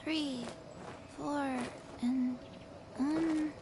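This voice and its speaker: Very slow, creaky high-voiced woman counting. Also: steady tone. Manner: measured, instructional. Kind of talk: guided breathing count. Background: noise.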